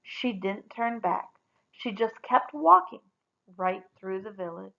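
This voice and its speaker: Creaky-voiced woman reading a story aloud. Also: medium pitch, expressive, takes it slowly, lo-fi sound. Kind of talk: expressive read-aloud storytelling.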